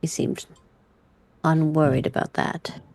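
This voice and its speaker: A woman's voice: deep voice, level tone, takes it slowly, darkish tone. A woman playing a wry, worldly, evasive woman in a tabletop roleplay, musing in roundabout phrases. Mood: casual, evasive.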